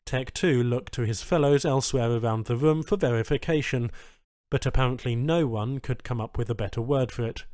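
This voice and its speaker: A man's voice: mid-range voice, fairly quick, darkish tone, no room sound, studio quality. A man reading a science fiction short story as scripted audiobook narration.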